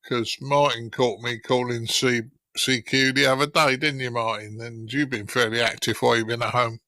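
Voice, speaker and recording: A man's voice: medium pitch, little pitch movement, normal speed, sharp tone, no room sound. A man moderating a casual podcast discussion as host.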